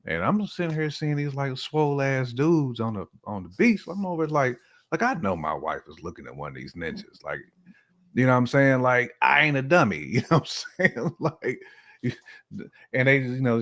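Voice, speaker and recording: A man's voice: mid-range voice, animated, moderate pace, fairly bright tone. A man from the United States talking casually on a discussion show, with informal slang and frequent checks for agreement. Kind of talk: casual chat.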